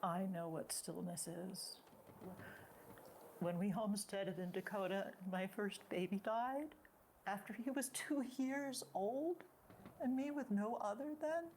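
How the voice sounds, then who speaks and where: An anxious, dutiful sheriff's wife played by a woman, haunted by loss, speaks at a middling pitch. The stage-drama recording carries faint noise. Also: unhurried, darkish tone, close to an everyday voice. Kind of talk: stage play acting. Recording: noisy amateur recording.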